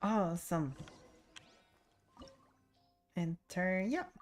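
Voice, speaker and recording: A woman's voice: lowish voice, very animated, drawn-out words, soft background music. A woman streamer giving casual game commentary, talking playfully to herself in short reactions. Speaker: game streamer playing through a story quest.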